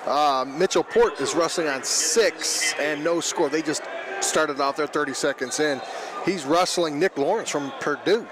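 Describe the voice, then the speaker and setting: A man's voice: fairly high pitch, unhurried. A man giving live radio analysis of wrestling in an emphatic, conversational way. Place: indoor sports arena, matside. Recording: live arena with crowd noise. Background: crowd noise.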